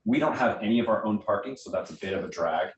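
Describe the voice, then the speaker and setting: A man's voice: animated, fast, muffled recording. An American man giving informal, conversational answers as a guest speaker at a small meeting. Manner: conversational, explanatory, uses everyday analogies. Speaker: guest speaker, theatre director. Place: indoor meeting room. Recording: echoey room, distant mic, faint rumbling noise.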